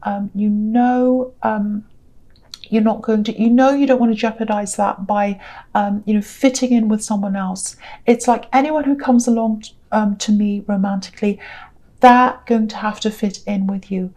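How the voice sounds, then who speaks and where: A tired woman with a croaky voice giving a gentle, reassuring tarot reading in a casual, rambling way. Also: mid-range voice, steady tone, moderate pace. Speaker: tarot reader.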